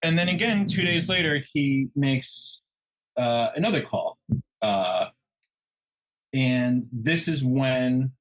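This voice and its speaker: A man with a medium-pitched voice comments on a true crime case as a podcast co-host. He talks conversationally and haltingly, laying out details piece by piece. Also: fairly bright tone, low-quality recording.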